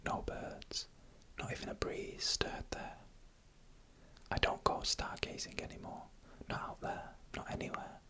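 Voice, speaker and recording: A storyteller who never rises above a whisper, with a bright, crisp edge to the breath. The delivery is soft and unhurried ASMR narration, spoken close to the microphone for headphone listening. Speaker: narrator of scary UFO encounter stories.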